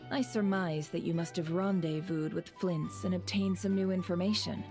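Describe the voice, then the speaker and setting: Actress as shrewd woman schemer, anime game, lowish voice, measured, formal, knowing, music clearly behind. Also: steady tone, lightly acted. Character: composed, shrewd female schemer running an informant network.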